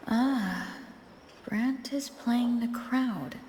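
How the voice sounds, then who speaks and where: An actress voicing an observant, calm and shrewd young adventurer in a video game, measured and thoughtful, with an echo. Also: female voice, fairly high pitch, slight background noise. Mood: quietly shrewd. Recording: roomy echo, music behind.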